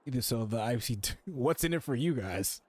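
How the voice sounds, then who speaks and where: A man's voice: mid-range voice, lively intonation, brisk, a little mellow. A man giving casual, slangy, bemused reaction commentary while playing a story video game. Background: game music and dialogue.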